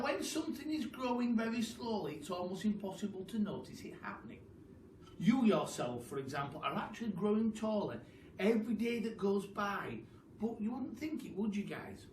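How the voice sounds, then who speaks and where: Male children's storyteller, animated and deliberate, in a roomy recording with some background noise. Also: high-pitched, far from the mic. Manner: dramatic, deliberate pauses and emphasis. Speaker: teacher reading a story to pupils.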